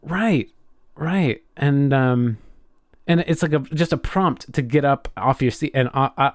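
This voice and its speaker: A man with a mid-pitched voice chats casually as a podcast co-host. He is enthusiastic but halting, often repeating and rephrasing words as he goes. The sound is dry, with no room echo. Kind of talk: casual chat, telling a personal anecdote.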